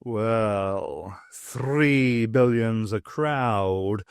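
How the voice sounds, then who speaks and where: A man's voice: lowish voice, drawn-out words, smooth, dry recording, clean studio sound, pitched below the performer's own voice. A man voicing a suave, sardonic male cat who talks in a purring, languid, teasing way.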